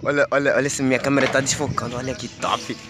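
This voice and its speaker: A young Brazilian man filming a casual vlog on a handheld camera, slangy and excitable as he teases his friends. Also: medium pitch, quick pace. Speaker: vlogger. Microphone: handheld camera mic. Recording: loud party music behind.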